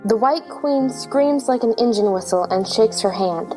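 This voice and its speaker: High-voiced woman narrating a storybook play. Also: unhurried, mellow, soft background music. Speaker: narrator reading stage directions.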